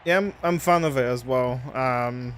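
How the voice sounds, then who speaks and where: A man from Poland, a native Polish speaker with a Polish accent, casually chatting with viewers while playing a game, halting and restarting his words. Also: medium pitch, faint music behind. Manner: relaxed, halting, frequent restarts. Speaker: streamer. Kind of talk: casual live-stream chat while gaming.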